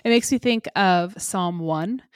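A woman's voice: lowish voice, measured pace, clean tone, no room sound, clean studio sound. A woman co-hosting a casual faith podcast, reading verses aloud expressively. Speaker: podcast co-host.

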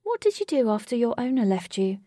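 A woman's voice: a little high, sing-song, brisk, warm tone, no room sound, clean studio sound, pitched above the performer's own voice. A woman voicing a kind, doting young female adventurer in a storybook, tender and coaxing. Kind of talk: storybook character voice.

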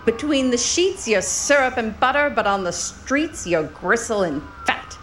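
An actress playing a flirtatious, sharp-tongued femme fatale in a theatrical noir radio comedy. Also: female voice, fairly high pitch, animated, unhurried, slight background noise. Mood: flirty, indignant.

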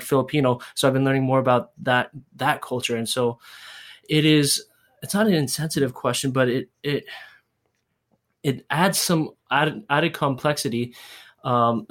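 A young man from Southern California, a podcast guest in casual chat, pausing often with fillers and restarting his sentences. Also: mid-range voice, fairly even intonation. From Orange County, California. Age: young adult. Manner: hesitant, many fillers and restarts. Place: room in Hawaii. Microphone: shared earbud with the host.

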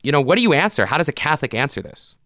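A man's voice: a little high, big pitch swings, very fast, fairly bright tone, dry recording, studio quality. A man who grew up in the American South, asking probing questions as interviewer.

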